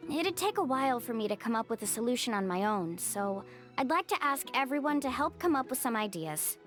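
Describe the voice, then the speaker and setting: A woman's voice: fairly high pitch, very animated, brisk, fairly bright tone. A woman voicing a cheerful young woman who guides her group and takes the lead. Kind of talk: game voice acting. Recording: game audio with faint music.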